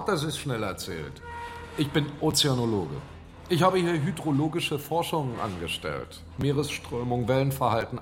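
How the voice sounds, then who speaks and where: A man's voice: medium pitch, slight background noise, close to an everyday voice. An actor playing a sardonic, unhinged oceanologist in a radio horror drama, mock-polite and menacing as he taunts people. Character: sardonic, unhinged male oceanologist holding strangers at gunpoint. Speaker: stranded researcher and captor.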